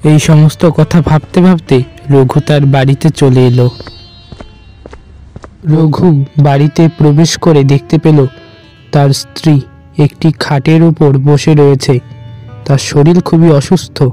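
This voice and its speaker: A man's voice: on the high side, fairly even intonation, slow, pauses often, dark tone, faint music behind. A man narrating a scripted horror story in a dramatic storytelling style.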